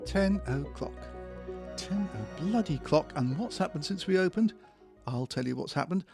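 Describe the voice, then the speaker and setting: A sarcastic, bored clerk grumbles through a dull morning, as a man acts him out in an audio drama. His slightly high voice has a gravelly fry to it, and faint music plays beneath. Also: expressive, normal speed. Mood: grumbling. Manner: sarcastic, grumbling, swears mildly. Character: grumbling bored middle-aged male post office worker. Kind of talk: amateur audio drama acting. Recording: music underneath.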